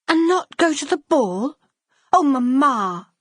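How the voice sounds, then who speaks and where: An impetuous young Victorian girl, as a woman reader puts her on chatters high and bright, her voice swooping when she pleads. The tone is a little breathy. It sits close and dry in a storybook reading. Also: sing-song, normal speed, dry recording. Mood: pleading. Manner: pleading, easily hurt. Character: impetuous eighteen-year-old Victorian girl.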